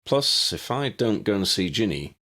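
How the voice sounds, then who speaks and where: A man's voice: lowish voice, sing-song, moderate pace, bright, forceful tone, voice pushed up. A man voicing an earnest young wizard hero in an audiobook story, direct, alert and concerned. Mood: resolute.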